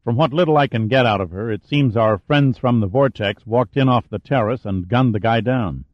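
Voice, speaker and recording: Man as a curt, sardonic tough guy, middle pitch, muffled dry audio. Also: normal speed, no echo, lightly acted. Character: blunt, tough-talking man of action. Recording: dull, muffled dry recording.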